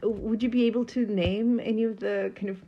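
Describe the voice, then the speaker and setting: A woman's voice: a little high, fairly even intonation, brisk, dull audio, slight background noise. A woman interviewing a guest in a casual conversation and asking curious, probing questions.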